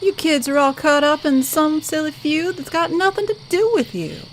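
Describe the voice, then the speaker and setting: Woman as a high-voiced squirrel innkeeper. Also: expressive, normal speed, a little hiss or hum, rough recording. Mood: sympathetic. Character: folksy, hospitable squirrel hotel keeper, briskly protective. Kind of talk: audio drama character acting.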